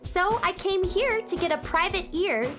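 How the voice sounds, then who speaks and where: A woman's voice: very high voice, expressive, unhurried, music in the background. A woman playing an earnest, polite little girl space adventurer in a children's radio drama. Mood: worried.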